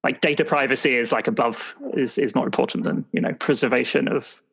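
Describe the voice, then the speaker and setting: A man playing an earnest, rule-minded secret agent in an improvised roleplay, speaking haltingly and conscientiously. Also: medium pitch, steady tone, creaky, a bit bright, breathy edge. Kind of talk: improvised roleplay audio drama.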